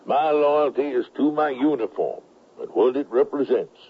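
An actor playing a dignified, steadfast Union general in a western radio drama, formal and courteous. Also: male voice, medium pitch, moderate pace, breathy edge, natural voice. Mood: solemn. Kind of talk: 1970s radio drama acting. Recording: dull, noisy 1979 radio recording.

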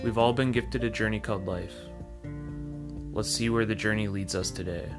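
A man's voice: on the low side, fairly even intonation, moderate pace. A man reading a scripted podcast introduction in a friendly, promotional way. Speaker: podcast producer. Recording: voice over guitar music. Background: guitar music.

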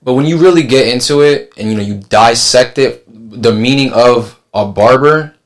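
A man from San Juan, Puerto Rico, a barber on a podcast panel, speaking in a measured way with pauses. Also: mid-range voice. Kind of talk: casual panel chat.

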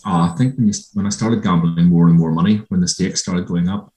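An Irish man speaks as a guest in an interview, in a low voice. He talks in a reflective way and stops often to gather his thoughts. The sound is roomy and echoey. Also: fairly even intonation, dark tone. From Ireland. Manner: reflective, halting with ums. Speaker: guest, former gambling addict. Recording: roomy echo.

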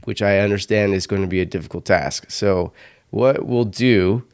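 A man's voice: lowish voice, moderate pace, a little mellow, clean tone, no echo, studio quality. A man hosting a podcast, upbeat and joking as he welcomes guests. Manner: upbeat, joking, laughs often. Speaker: podcast host. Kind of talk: casual podcast interview.

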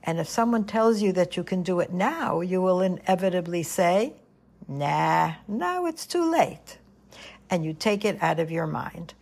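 An older woman hosts her own podcast, speaking straight to her listeners in a gentle, earnest, motherly tone. Her voice is soothing, lowish and gravelly, with a husky edge. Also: expressive, measured pace. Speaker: podcast host. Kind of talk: reflective monologue podcast.

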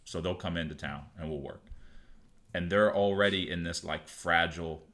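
A man who coaches shooting, talking casually on a podcast in a halting, laid-back way full of restarts and fillers. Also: low-pitched, a bit bright.

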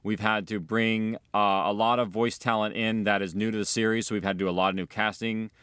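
Low-voiced man explaining, close and dry. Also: little pitch movement, a little fast, fairly bright tone, clear, resonant tone, no echo. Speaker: audio drama creator and director. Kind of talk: documentary interview, explaining.

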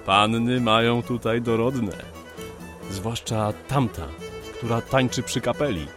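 A man's voice: medium pitch, slow pace, music in the background, close to an everyday voice. A man playing a wry, worldly surveyor who banters with his companion, in a radio play. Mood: wry, appreciative. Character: teasing, worldly male surveyor, companion of the hero.

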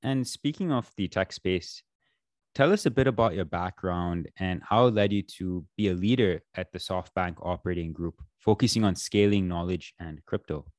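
A man's voice: low voice, moderate pace, a little mellow, no room sound. A man hosting an interview, reading a polished formal introduction and asking his guest questions. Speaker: interview host.

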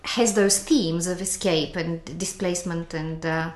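A woman with a Bulgarian accent and a trace of a New Zealand twang, a writer speaking reflectively as an interview guest, often pausing with fillers. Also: fairly low pitch, fairly even intonation. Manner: reflective, halting, many fillers. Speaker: author guest. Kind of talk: interview conversation. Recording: echoey room, faint noise.

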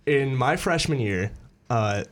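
A young man from Pennsylvania, a musical theatre student, chatting as a guest on a radio interview and telling stories in an animated way. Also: medium pitch. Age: young adult. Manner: animated storyteller, quick with restarts. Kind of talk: casual radio interview chat.

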